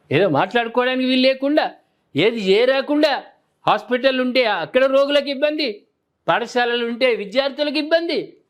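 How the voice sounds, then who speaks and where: A man with a Telangana Telugu accent presenting opinionated news commentary to viewers in a colloquial, emphatic way, often putting questions to them. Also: high-pitched.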